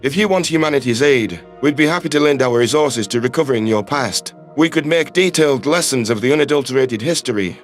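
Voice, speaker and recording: A caring, concerned male envoy, voiced by a man speaks gently at a medium pitch. Faint music runs under the sci-fi drama. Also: brisk, hardly any pauses, lightly acted. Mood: gentle.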